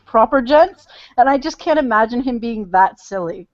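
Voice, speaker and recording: Slightly high woman telling a story. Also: animated, measured pace. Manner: storytelling, teasing. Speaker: podcast co-host. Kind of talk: casual chat. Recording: dull lo-fi podcast audio.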